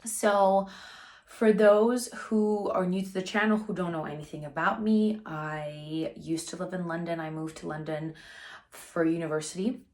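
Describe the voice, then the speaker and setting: A woman in her thirties with a slightly raspy voice, chatting casually to camera as a vlogger, with frequent "like" fillers. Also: lowish voice, darkish tone.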